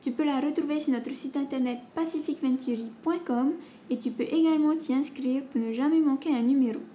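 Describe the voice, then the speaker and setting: A woman's voice: sits high, fairly quick, mellow, a little hiss or hum. A woman hosting a podcast, thanking guests and reading a composed outro. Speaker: podcast host.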